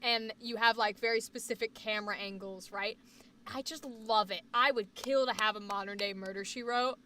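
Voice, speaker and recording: A woman with a high, bright voice and a slight Boston accent, chatting loosely on her livestream. She rambles and repeats words for emphasis, and sounds a little away from the mic. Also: fairly even intonation, moderate pace, distant mic, slight background noise. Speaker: livestream host. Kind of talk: casual chat stream.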